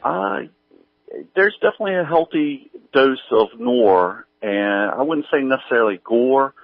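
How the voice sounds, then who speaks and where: Halting man on a phone-like line. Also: medium pitch, expressive, creaky, no echo. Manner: halting, hesitant, informal. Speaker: interview guest, writer. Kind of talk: casual interview chat. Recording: tinny, narrow phone-like line.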